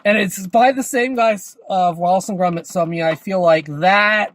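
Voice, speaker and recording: A man's voice: high-pitched, moderate pace, fairly bright tone, no echo. Man casually chatting about movies. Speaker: podcast co-host.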